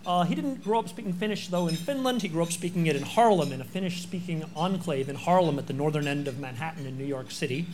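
High-voiced man introducing a speaker. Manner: chatty, halting with fillers. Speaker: event host.

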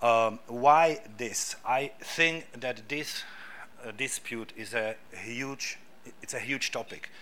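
A middle-aged man with a Czech accent gives a talk at a club event. He speaks haltingly, with frequent restarts and fillers, in a bright voice. Faint room noise sits behind him. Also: medium pitch. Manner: hesitant, many restarts and fillers. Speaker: guest speaker, filmmaker.

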